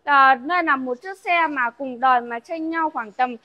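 A woman's voice: high voice, measured pace, dry recording, lo-fi sound. A young woman selling used cars, chatting casually to viewers and repeating her points as she walks them through a car and a showroom. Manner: chatty, repeats herself, addresses viewers as "các bác". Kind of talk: casual showroom sales walkthrough.

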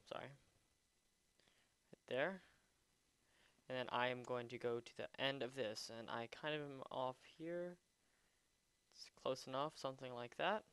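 Clear-voiced man casually walking through software. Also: medium pitch, no echo. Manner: hesitant, thinking aloud while demonstrating. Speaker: tutorial presenter. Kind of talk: casual unscripted software tutorial.

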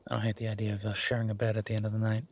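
A man playing a shy, gentlemanly boyfriend in an intimate romantic audio roleplay, speaking softly and haltingly with gentle teasing. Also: fairly low pitch, monotone. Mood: tender, pleased. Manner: hesitant, soft-spoken, gently teasing.

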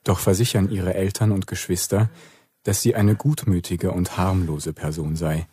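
A detached male narrator for a radio play, formal and deadpan. His voice is very deep and dark, recorded close and dry. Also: moderate pace, husky, dry recording. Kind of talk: scripted narration.

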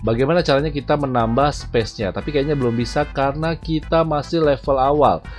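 A man in his thirties hosts a casual gaming video with a chatty delivery. His voice sits at a medium pitch. Music plays behind him as he talks viewers through the game on a headset mic. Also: brisk. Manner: chatty, addresses viewers as "guys". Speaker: gaming YouTuber, host. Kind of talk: casual gameplay commentary to camera.

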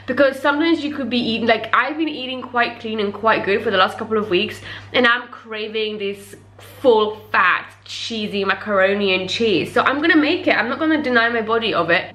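A woman's voice: fairly high pitch, pushed and bright. A young woman in her twenties chatting casually to the camera at home, giggling and rambling with lots of fillers. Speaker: vlogger. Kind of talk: casual vlog chat to camera. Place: home kitchen and living room. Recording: roomy indoor echo.

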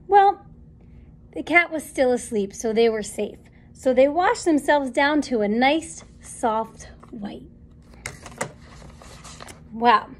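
A woman in her thirties leads a children's story time in a high, very lively voice. She takes her time, with a sing-song, encouraging delivery that pauses around questions for little listeners. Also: a little hiss or hum. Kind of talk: animated picture-book read-aloud for young children. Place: small indoor classroom or playroom.

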